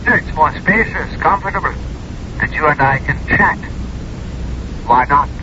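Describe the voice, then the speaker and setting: A man's voice: a little high, expressive, unhurried. An actor in a 1970s radio drama playing a courteous, persuasive man on the telephone, inviting and polite. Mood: coaxing. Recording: muffled, noisy old radio recording.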